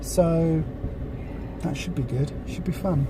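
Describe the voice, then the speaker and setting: A vlogger with a croaky voice from a cold, chatting in a rambling way with lots of ums from inside a car. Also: medium pitch, slow, recorded from a distance. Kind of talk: casual chat. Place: in a parked car. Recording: noisy car interior. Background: street and traffic noise.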